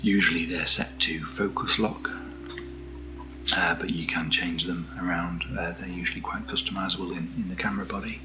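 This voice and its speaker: A British man speaking in a soft, breathy near-whisper as he hosts a casual ASMR video, gentle and unhurried, close to the microphone. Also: low-pitched, fairly even intonation, background noise. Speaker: ASMR video host reviewing camera gear. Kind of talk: soft-spoken ASMR, casual unboxing chat.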